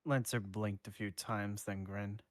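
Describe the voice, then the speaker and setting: A man reading fan fiction aloud on a stream, informal and unhurried, chatting as he goes. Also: lowish voice, fairly even intonation, no echo, studio quality. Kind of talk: informal live story reading with banter.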